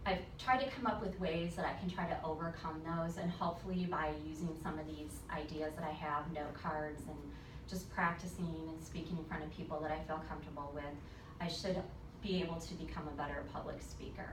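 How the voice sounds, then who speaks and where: A woman's voice: deep voice, steady tone, a little fast. A woman college student giving a class speech about her public speaking, earnest and prepared with a few fillers. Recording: echoey room from far off with noise.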